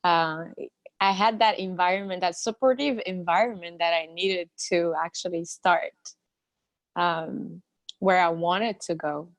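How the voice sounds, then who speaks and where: Young woman from Madagascar, slow and soft, dry close sound, on a video call. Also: on the low side, fairly even intonation, no room sound. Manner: soft, hesitant, laughs between thoughts. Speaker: singer-songwriter panelist.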